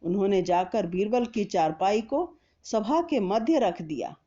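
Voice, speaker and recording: A woman narrating a scripted children's story in a deliberate, expressive storytelling manner. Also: medium pitch, lo-fi sound.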